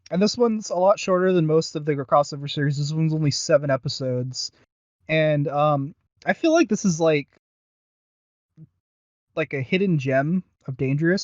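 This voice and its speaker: A man's voice: on the high side, no echo, highs cut off. A man co-hosting a podcast, talking casually and somewhat hesitantly as he reviews audio dramas. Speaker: podcast co-host.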